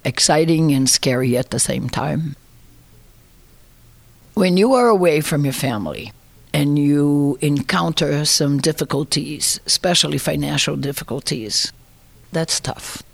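A woman's voice: deep voice, measured pace, gravelly, no room sound. Woman, 60s, Brazilian accent. Conversational interview delivery, reflective, recounting personal experience. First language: Portuguese. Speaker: interviewee, immigrant.

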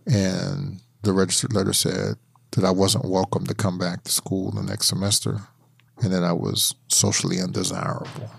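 A middle-aged man from Pittsburgh, telling his life story in a measured, thoughtful interview. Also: lowish voice, no room sound, clean studio sound. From Pittsburgh, USA. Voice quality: gravelly. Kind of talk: reflective oral history interview.

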